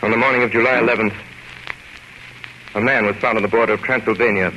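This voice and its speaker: An actor playing an earnest asylum doctor, narrating formally and dramatically in 1930s radio drama style on an old, noisy recording. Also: male voice, lowish voice, fairly even intonation, fast, highs cut off, close to an everyday voice. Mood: grave, formal.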